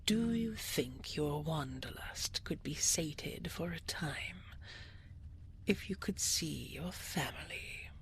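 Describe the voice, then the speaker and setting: A woman playing a regal, possessive dragon queen in an intimate audio roleplay, with a breathy, whispery voice and formal, coaxing speech full of endearments. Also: deep voice, full character voice. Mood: coaxing, thoughtful.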